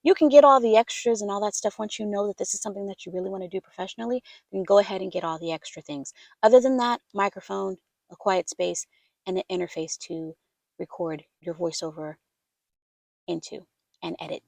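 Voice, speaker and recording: A soft-spoken woman giving casual advice as a voiceover coach, chatty and easygoing. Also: medium pitch, brisk, creaky, dry recording. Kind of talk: casual instructional talk to camera.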